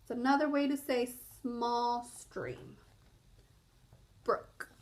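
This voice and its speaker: A woman's voice: a little high, slow pace. A woman reading a picture book aloud to young children, stopping to explain and ask questions. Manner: explanatory, asks listeners questions. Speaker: children's storybook reader.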